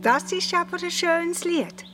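A woman's voice: very high-pitched, a little slow, over music. A woman playing a kindly, caring figure in a children's radio play, reassuring, with a Swiss German accent. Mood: reassuring, concerned. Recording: echoey chapel-like reverberation.

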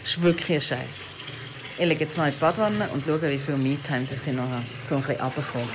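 Woman, Swiss German accent, very low pitch, candid unscripted musing, podcast diary style, clearly noisy recording. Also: fast talker. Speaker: podcast host and parent. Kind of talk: casual personal audio diary.

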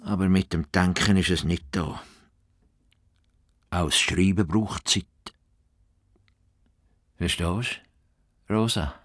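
An actor playing an earnest, self-assured farmer-philosopher in a radio play, pondering and deliberate. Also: male voice, deep voice, a bit bright, exaggerated voice. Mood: musing.

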